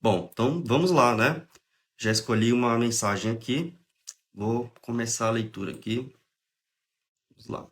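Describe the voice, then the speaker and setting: A young man with a Brazilian accent and a cold, clearing his throat now and then, hosting a relaxed spiritist study livestream, reading aloud and explaining in a chatty way. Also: mid-range voice, normal speed, pauses often. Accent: Brazilian Portuguese. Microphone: phone or webcam on a livestream.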